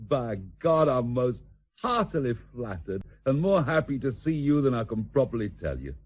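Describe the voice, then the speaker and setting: An actor playing an affectionate, vain, war-weary admiral, courtly and hesitant, in a radio drama. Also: male voice, medium pitch, very animated, normal speed, husky. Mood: delighted. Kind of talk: British stage-play radio acting.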